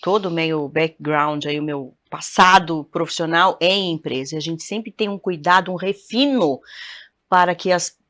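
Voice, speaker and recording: A woman's voice: on the low side, moderate pace, a bit bright. A Brazilian woman talking as a panelist on a studio talk show, chatty and emphatic, often turning to the group. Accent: Brazilian Portuguese.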